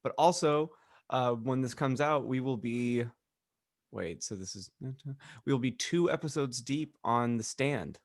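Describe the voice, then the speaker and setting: Male podcast co-host, mid-pitched, casual joking chat, dry and echo-free sound. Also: measured pace.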